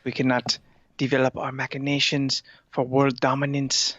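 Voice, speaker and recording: A performer voicing a fiendish, fat male house cat in a comedy interview, with deliberate, formal villain talk. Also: medium pitch, monotone, fairly bright tone, no echo, muffled recording, natural voice. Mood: grave, scheming. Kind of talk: improvised comedy interview in character.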